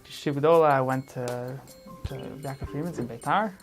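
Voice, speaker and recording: A young man from Chicago, a teacher trainee giving a halting testimonial full of false starts and repeated words. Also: medium pitch, creaky. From Chicago, USA. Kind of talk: testimonial interview. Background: music.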